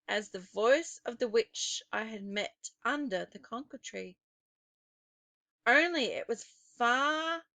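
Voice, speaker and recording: Female storybook narrator: crisp bright tone, slightly high, deliberate suspenseful phrasing, dry close sound. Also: no echo. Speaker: teacher reading a children's novel aloud.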